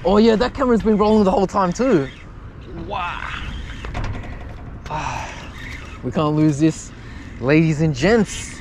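A young man commentating his own fishing live from an outdoor wharf at night, chatty and loudly excited when fish bite. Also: sits high, takes it slowly, pauses often. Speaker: fishing vlogger. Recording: outdoor night recording with background noise.

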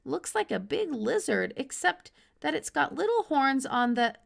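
Casting: excitable young farm boy for a storybook, played by a woman, high voice, earnest, gushing delivery. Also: measured pace, gravelly, heavily acted. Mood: eager, polite. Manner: exclamatory, run-on, earnest delivery.

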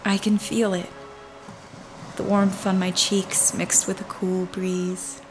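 A woman's voice: medium pitch, level tone, slow, over music, natural voice, poor audio. A woman playing a skeptical, principled character in a radio drama, reserved and blunt, with ambient noise. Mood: wistful. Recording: clear ambient background noise.

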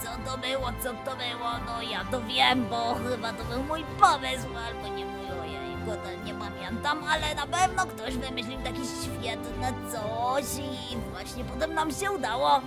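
A woman plays an excitable young cartoon lion with a very high voice. He is forgetful and fretful, full of little exclamations and self-doubt, in a children's audio show with music clearly behind. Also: a little slow, hardly any pauses, lightly acted. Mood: puzzled, fretful.

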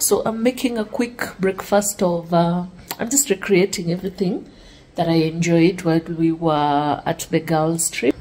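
A woman's voice: lowish voice, rough recording. A young woman chatting casually to her viewers in a lifestyle vlog, filmed at home with a handheld camera. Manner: relaxed, chatty, talks to viewers as "guys". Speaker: lifestyle vlogger. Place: home kitchen and dressing room, indoors.

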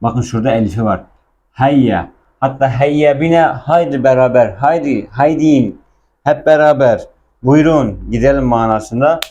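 A man's voice: medium pitch, a little slow, a little mellow, highs cut off, slight background noise. A man giving a casual language lesson, explaining film dialogue and repeating words with their translations. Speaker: Arabic teacher.

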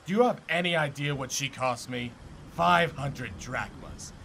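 A man's voice: medium pitch, a little slow, vocal fry, a bit bright. A man playing a bitter, contemptuous older blacksmith in ancient Greece, haughty and declamatory, in an audio drama. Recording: noticeable background noise.

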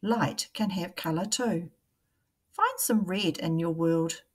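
A woman, a primary teacher reading a picture book aloud to young children in a deliberate, questioning way. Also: fairly low pitch, husky.

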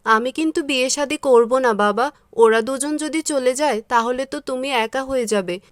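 A gentle eldest farm daughter from a cartoon, acted by a woman, speaks in a high voice. She is dutiful and earnest, with a plaintive edge. The recording is dry and close. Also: moderate pace, dry recording, natural voice. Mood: earnest, devoted. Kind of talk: Bengali cartoon dubbing.

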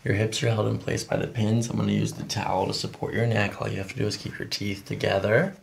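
A middle-aged man working as a chiropractor, giving calm, short, soothing instructions during an ASMR treatment. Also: on the low side, fast talker, gravelly. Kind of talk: hands-on treatment talk, ASMR. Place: small office treatment room. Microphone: microphone on a boom nearby.

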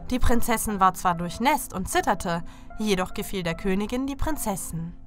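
A woman tells a scripted fairy tale to children as its narrator. Her voice sits at an average pitch. Soft music runs behind the reading. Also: lively intonation, normal speed.

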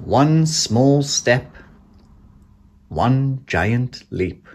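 A man reading a children's picture book aloud in an expressive, playful, measured way, as an animated storyteller. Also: mid-range voice. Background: sound effects, chimes, vehicle noise.

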